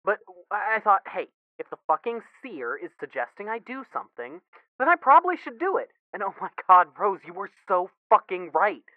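A man's voice: high voice, big pitch swings, a little fast, dry recording. A man playing an emotional, foul-mouthed young brother in a fan fiction audio drama, stammering and blurting over a phone filter. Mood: overwhelmed joy. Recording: thin phone-like band, dry.

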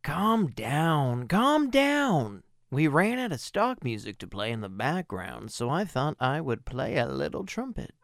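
Gravelly, offhand man in comic banter. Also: a little high, very animated, a little slow, hardly any pauses.

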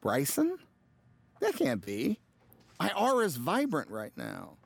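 An actor playing a nervous, smitten, hapless low-level ad man in an audio drama, rambling and scolding himself. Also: wildly swooping pitch, slow pace, creaky, exaggerated voice. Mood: hopeful, indignant. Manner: flustered, rambling, self-scolding. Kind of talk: audio drama acting with confiding inner monologue.

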